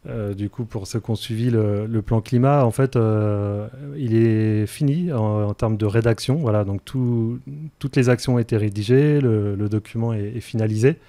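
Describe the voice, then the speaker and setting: A man in his forties giving explanatory answers as a radio interview guest, in a halting, hesitant manner with many fillers, close on a studio mic. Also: lowish voice, dark tone, dry recording. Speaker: interview guest, energy transition project officer. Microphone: close studio microphone.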